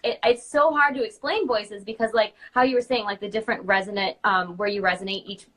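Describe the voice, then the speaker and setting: A woman's voice: mid-range voice, steady tone, fairly quick, fairly bright tone. A young adult woman in a casual chat, sharing tips as a guest. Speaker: guest, princess party performer.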